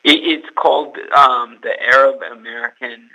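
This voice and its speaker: A man's voice: mid-range voice, steady tone, moderate pace, bright, forceful tone, muffled recording. A young man from California, a guest on a podcast, who talks hesitantly and repeats words. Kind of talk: casual podcast interview.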